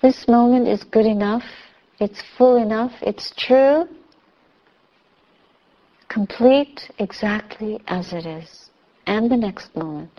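Female meditation teacher, slow and measured, soothing guidance, slightly lo-fi sound. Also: fairly high pitch. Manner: measured, soothing, with long pauses. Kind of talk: guided meditation instruction. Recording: slightly dull, muffled recording.

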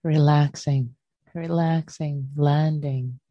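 A woman teaching a guided meditation in a gentle, unhurried manner, leaving long pauses between short phrases. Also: low voice, steady tone. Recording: muffled, roomy sound.

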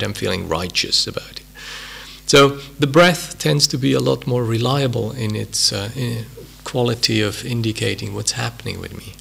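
Gravelly Swiss-accented meditation teacher. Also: male voice, mid-range voice, fairly bright tone. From Switzerland. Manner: unhurried, reflective, many pauses and fillers. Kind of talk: dharma talk at a retreat.

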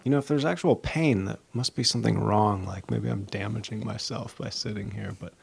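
A man's voice: fairly low pitch, brisk, creaky, breathy edge. A man in a meditation group carefully describing a worry, earnest and slightly anxious. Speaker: retreat participant. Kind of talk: asking in group Q&A.

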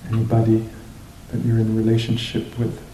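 A man teaching meditation to a group, speaking reflectively and off the cuff, with hesitant pauses between short phrases. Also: completely flat delivery, warm tone. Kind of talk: guided meditation talk, unscripted and reflective. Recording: roomy echo, faint noise with low rumble.

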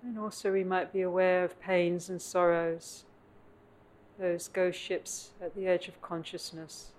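A woman meditation teacher leading a guided meditation in contemplative, measured phrases with long pauses, recorded live at a meditation center. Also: lowish voice, little pitch movement, a little mellow. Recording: clear background noise, live room ambience.

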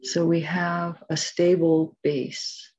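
A woman leading a guided meditation as its teacher, giving gentle, measured instructions with long pauses. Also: lowish voice, level tone, breathy edge, dull audio.

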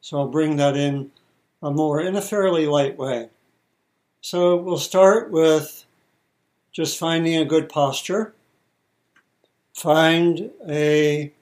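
A man leads a guided meditation as a teacher. His voice sits a little higher than most men's. He speaks very slowly, in short measured phrases, leaving pauses between instructions. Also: a little mellow.

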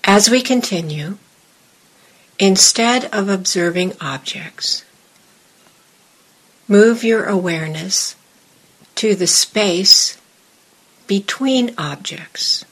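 A woman meditation teacher leading a guided meditation, giving measured instructions in short phrases with long pauses. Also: mid-range voice, animated, fairly bright tone, breathy edge.

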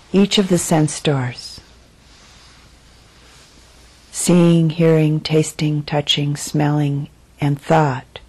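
A woman teaching meditation, speaking in measured, deliberate phrases with frequent pauses as she guides a reflection. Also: low-pitched, darkish tone, slightly rough, low-quality recording. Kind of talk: guided meditation, dharma talk.

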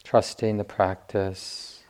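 A man teaching meditation, giving gentle guided instruction in short phrases with long pauses. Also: on the low side, level tone.

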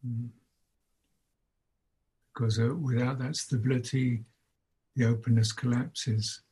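Man, meditation teacher, very slow pace, husky tone, contemplative, long pauses, unscripted guidance. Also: mid-range voice, level tone, dark tone. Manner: unhurried, contemplative, long pauses between short phrases. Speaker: Buddhist monk, meditation teacher. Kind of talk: unscripted guided meditation talk.

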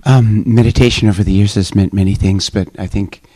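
An older man reflectively sharing what meditation means to him, in a gentle manner. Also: lowish voice, steady tone, fairly quick, no room sound. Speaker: meditation group member. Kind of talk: personal sharing in a group.

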